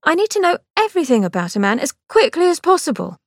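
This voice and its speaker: Woman doing prim young lady, indignant, pitched high, dry close sound. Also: big pitch swings, fast, no echo. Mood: earnest. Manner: indignant, earnest.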